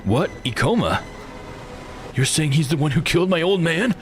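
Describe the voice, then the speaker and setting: Male reader as distressed young man, urgent delivery, raised pitch, animated, game dialogue. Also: normal speed, soft background music. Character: young distressed man, son of a murdered father.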